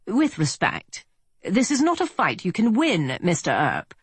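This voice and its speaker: A woman's voice: fairly low pitch, wildly swooping pitch, husky, no room sound, voice pushed up, heavily acted. A woman voicing a polished, well-to-do British man with a pleasant tenor, speaking formally and evenly. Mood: even, pressing. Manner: measured, formal, slightly rushed. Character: polished upper-class British man, even-toned negotiator.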